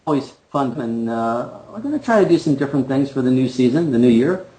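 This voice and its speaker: A man's voice: mid-range voice, expressive, fast, warm tone, low-quality recording. Fifties guy cohosting a podcast, jokey and self-deprecating, easygoing chat. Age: 50s. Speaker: podcast co-host. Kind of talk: casual chat.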